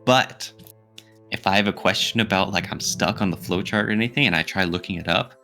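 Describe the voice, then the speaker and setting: A man with a fairly low, very bright and forceful voice co-hosts a casual gaming podcast. He talks in an opinionated, emphatic manner, and music plays behind him. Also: a little fast, creaky. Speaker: podcast co-host. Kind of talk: casual podcast discussion of video games.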